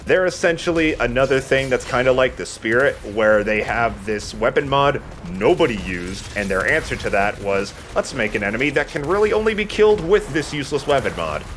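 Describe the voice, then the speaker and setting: A man's voice: mid-range voice, expressive, a little fast, few pauses, fairly bright tone, a little hiss or hum. A man giving casual, opinionated and sometimes sweary video game commentary alongside a co-host. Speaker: let's play commentator. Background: game music.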